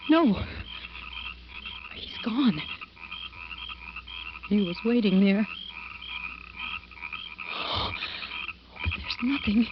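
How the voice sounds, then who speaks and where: An actress playing a terrified woman walking home alone at night, talking to herself in breathy, halting old-time radio horror style. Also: mid-range voice, lo-fi sound. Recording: noisy, band-limited vintage radio recording.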